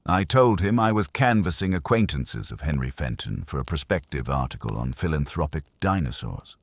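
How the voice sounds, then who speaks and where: A man's voice: very deep voice, animated, moderate pace, no echo, clean studio sound. A man reading first-person detective fiction as narrator, with a wry, hardboiled manner.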